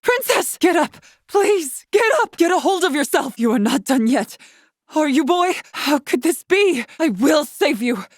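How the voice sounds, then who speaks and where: A woman voicing a proud, commanding female warrior in a video game, speaking with formal, measured diction. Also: high voice, animated, dry recording, studio quality. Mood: alarmed, urgent. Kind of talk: scripted video game character battle lines.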